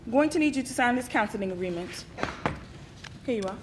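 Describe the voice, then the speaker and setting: A patient therapist who questions calmly, as a woman plays her, with a high voice. Faint ambience hums behind her. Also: noisy background, lightly acted. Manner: calm, measured questioning. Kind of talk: stage play acting.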